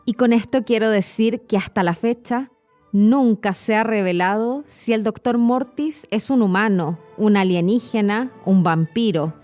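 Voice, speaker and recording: A woman's voice: medium pitch, a little slow, darkish tone. Chilean woman podcast host over background music. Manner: addresses the listener directly. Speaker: podcast host and Spanish teacher. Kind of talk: scripted educational storytelling narration. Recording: music behind the voice.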